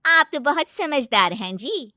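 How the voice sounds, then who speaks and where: A woman puts on a greedy village wife from a moral tale. She is eager, fawning and agreeable, with a very high, sharp bright voice. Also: very animated, a little slow, no room sound, clean studio sound, exaggerated voice. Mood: fawning, pleased. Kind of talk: animated moral-story character dialogue.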